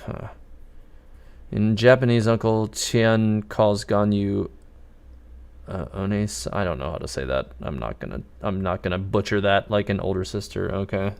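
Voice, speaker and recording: A man's voice: fairly low pitch, unhurried, vocal fry, darkish tone, smooth. A man casually commentating on a live game stream, musing and thinking aloud.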